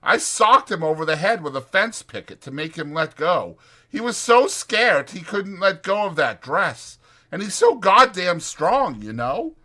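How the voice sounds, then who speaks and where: A man voicing an exasperated but protective ranch hand in a dramatized audiobook, blunt and scolding, with a rough folksy drawl. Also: high-pitched, big pitch swings, measured pace, bright, forceful tone, voice pushed up, exaggerated voice. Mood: agitated storytelling. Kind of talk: dramatized audiobook character acting.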